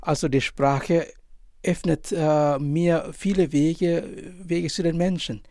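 A man in his forties from Indonesia, a priest and author, answering interview questions in German with an Indonesian accent, pausing often and searching for words. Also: on the high side, fairly even intonation, mellow, no echo, clean studio sound. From Indonesia, island of Sumba. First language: Indonesian language. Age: 40s. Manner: halting, many pauses and fillers, searching for words.

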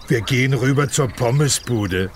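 A man playing a good-natured talking elephant in a children's audio drama, telling corny jokes in a ponderous, drawn-out way. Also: medium pitch, a little hiss or hum, natural voice. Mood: jovial joke-telling. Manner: slow, drawn-out storytelling.

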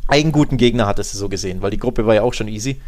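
A man's voice: lowish voice, rapid-fire, darkish tone. A man co-hosting a football podcast, chatting casually in a colloquial, emphatic and opinionated way. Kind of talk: casual football chat and commentary.

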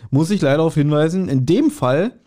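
Teasing man around forty, slightly high voice. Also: lively intonation, fairly quick, mellow. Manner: teasing, mock-indignant storytelling. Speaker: podcast co-host. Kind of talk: casual chat.

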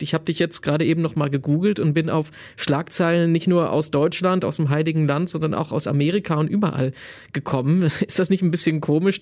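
A man hosting an interview podcast. His voice sits a little higher than usual for a man. He asks his questions conversationally, with a few filler sounds along the way. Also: darkish tone.

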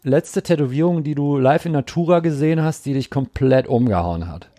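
A man's voice: a little high, lively intonation, brisk, dark tone, no echo, clean studio sound. A man hosting a casual interview, asking questions and chiming in with informal, slangy remarks. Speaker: interviewer, host.

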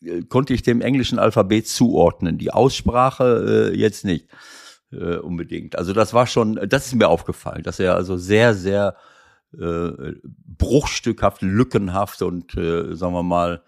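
Give me an older football coach on a podcast, gravelly and lowish, hesitant and rambling. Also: male voice, unhurried. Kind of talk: casual podcast chat.

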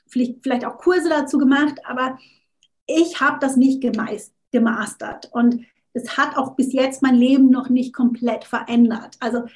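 A woman's voice: high voice, fairly even intonation, normal speed, darkish tone. A woman interview guest, a coach, talking casually, often restarting words and slipping in English phrases. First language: not German, unsure of her German.

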